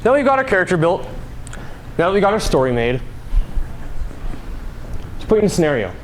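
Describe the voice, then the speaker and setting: A young man speaks to an audience in a voice pitched a little high. He is conversational and playful, telling things like a story in an informal public talk. Faint background noise runs behind him in the live recording. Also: big pitch swings, fairly quick, low-quality recording.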